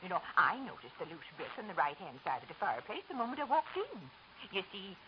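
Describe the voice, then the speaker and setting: A woman's voice: fairly low pitch, sing-song, quick pace. An actress playing a polite, anxious married woman in a 1940s radio drama. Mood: composed, tender. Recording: dull, noisy old radio recording.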